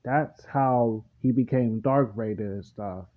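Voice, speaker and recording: A man reacting casually off the cuff, rambling and halting as he circles back to explain himself. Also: medium pitch. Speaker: reaction video host. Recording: muffled lo-fi mic with clear background noise.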